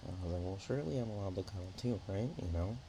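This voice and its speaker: A man voicing a shy, playful young dragon-dog girl in an ASMR roleplay, speaking softly and haltingly with naive affection. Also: low-pitched, background noise. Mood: bashful, naive. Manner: hesitant, halting, giggly, soft-spoken. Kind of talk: ASMR roleplay, one-sided intimate chat.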